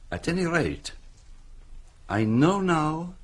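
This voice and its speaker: An actor playing a shrewd, fussy middle-aged detective in a radio play, speaking with polite, precise and faintly teasing care. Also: male voice, on the high side, big pitch swings, very slow, breathy edge, exaggerated voice. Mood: calm probing. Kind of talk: radio drama acting.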